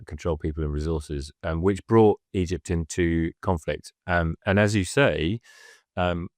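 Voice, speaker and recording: A man explaining history on a podcast, measured and hesitant, full of ums. His voice is very low. The recording is dry and close. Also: dry recording. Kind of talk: casual podcast chat, explaining.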